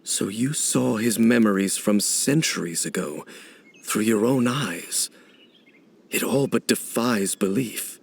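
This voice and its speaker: Deep, gravelly brooding warrior. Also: male voice, animated, heavily acted. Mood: pensive. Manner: measured, deliberate, formal. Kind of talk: dramatic video game voice acting.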